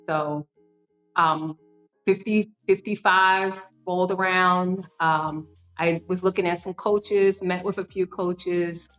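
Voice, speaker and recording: Fifties lady chatting on a podcast, kinda low voice, lots of ums, dull lo-fi audio w/ faint music. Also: a bit bright. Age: 50s. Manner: earnest storytelling with frequent fillers and restarts. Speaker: podcast guest. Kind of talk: conversational interview.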